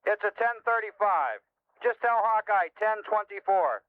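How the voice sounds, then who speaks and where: A man playing a brisk, helpful CB trucker, talking in clipped slang through a radio filter. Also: high-pitched, lively intonation, no echo. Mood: businesslike. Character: Mean Machine, brisk helpful male CB trucker. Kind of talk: scripted CB radio role-play. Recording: tinny band-limited CB radio.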